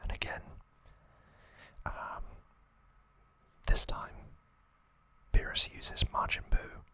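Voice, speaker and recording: A man hosting ASMR, whispering a trivia recap in a breathy, hushed voice with short phrases and pauses. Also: no echo. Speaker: ASMR host.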